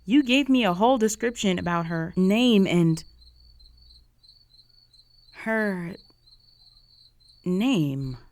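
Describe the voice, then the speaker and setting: A woman's voice: medium pitch, lively intonation, slow pace, long pauses, a little mellow, no room sound. A young woman playing a jealous, flustered roommate with a secret crush in an intimate romantic audio roleplay, speaking in a hesitant, conversational way. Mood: disbelieving, flustered. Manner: conversational, hesitant, sighing, emotionally exposed.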